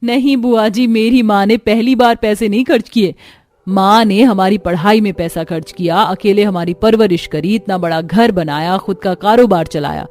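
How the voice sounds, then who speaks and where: A woman's voice: medium pitch, normal speed, darkish tone, close to an everyday voice. A woman voicing a proud, outspoken young daughter in a cartoon, speaking emphatically. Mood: proud, defiant. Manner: emphatic, declarative.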